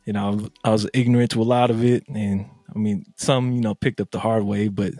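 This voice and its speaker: A man's voice: lowish voice, little pitch movement, brisk, warm tone, slightly rough, no room sound, faint music behind. A man hosting a podcast, laid-back and casual, asking questions at home on a studio mic over a video call. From United States, Army family. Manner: laid-back, many "you know" fillers. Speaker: podcast host. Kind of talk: casual interview chat. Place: home room, indoors. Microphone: studio mic with headset, video call.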